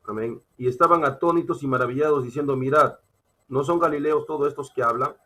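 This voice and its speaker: A man with a Peruvian accent preaching a sermon, emphatic and halting, repeating phrases as he teaches from scripture. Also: mid-range voice, a bit bright, slightly rough. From Peru. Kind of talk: sermon with Bible reading and personal testimony.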